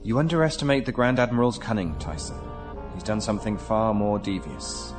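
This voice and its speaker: An actor playing a formal, courteous, shrewd commanding official who speaks deliberately in a sci-fi audio drama. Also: male voice, lowish voice, soft background music, natural voice. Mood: grave, explanatory.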